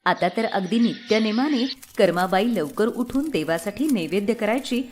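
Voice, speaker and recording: A woman narrating a scripted devotional story in an expressive storybook delivery. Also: medium pitch, normal speed, slight background noise.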